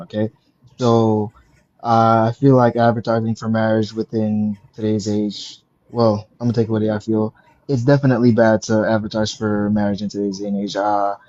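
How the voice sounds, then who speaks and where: A man in his twenties calling into a live stream, laying out his argument slowly with frequent fillers. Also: on the low side, a little mellow. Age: 20s. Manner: measured, drawn-out argument with fillers. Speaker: caller. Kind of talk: debating on a call-in stream. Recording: dull lo-fi call audio.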